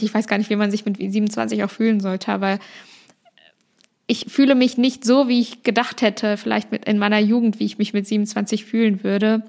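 Even-toned woman in her late twenties. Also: medium pitch. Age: 20s. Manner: reflective, rambling with small restarts. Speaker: podcast host. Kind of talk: casual personal monologue.

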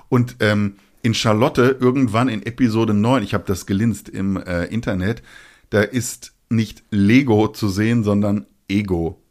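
Low-voiced dryly joking podcast host man. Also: fairly even intonation, measured pace, darkish tone. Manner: joking, ironic asides; explains like a teacher. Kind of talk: casual chat.

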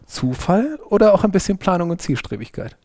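A man's voice: sits high, big pitch swings, a little fast, darkish tone, dry recording. A man with a Saarland accent interviewing a guest, chatty and playful, asking long elaborate questions. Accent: Saarland German. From Saarland, Germany. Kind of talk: casual interview.

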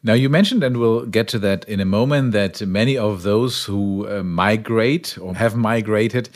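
A man's voice: fairly low pitch, moderate pace. A male podcast host asking long, thoughtful questions in an academic interview.

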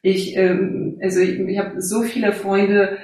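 A woman's voice: medium pitch, flat delivery, a little slow, darkish tone, far from the mic. A middle-aged woman from Silesia with a Polish accent, speaking earnestly as a guest about her life in Germany, with frequent fillers and little affirmations. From Poland, Silesia. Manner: earnest, many fillers and "ja" tags. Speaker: guest, job coach. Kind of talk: conversational interview. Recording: echoey room, off-mic.

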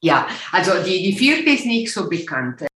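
A woman's voice: lowish voice, very animated, normal speed, a bit bright, roomy sound, slight background noise. A woman in her sixties from Ticino, Italian native, speaking German with an Italian-Swiss accent, hesitant and searching for words as an interview guest. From Ticino, Switzerland. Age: 60s. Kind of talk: casual interview chat.

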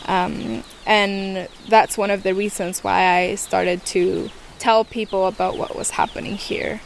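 A woman's voice: mid-range voice, level tone, unhurried, creaky, slight background noise. A young woman with another first language than English, answering interview questions thoughtfully and searching for her words. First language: not English. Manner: hesitant, searching for words, many restarts.

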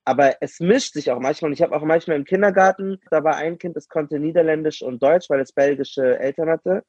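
A man's voice: fairly high pitch, brisk, darkish tone, breathy edge, no echo, dull audio. A young man in a casual group discussion, thinking aloud with rambling restarts. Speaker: panel guest.

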